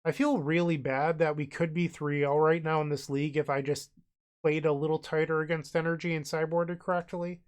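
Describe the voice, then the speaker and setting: A man's voice: a little high, little pitch movement, a little fast, smooth. A man with a cold, sounding congested and nasal, casually narrating his online card game move by move as he thinks aloud. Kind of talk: casual gameplay commentary.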